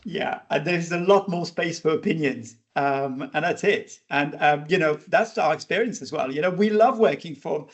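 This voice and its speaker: A man's voice: fairly high pitch, normal speed, few pauses. A man hosting an interview podcast, talking casually with many fillers and restarts. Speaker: podcast host.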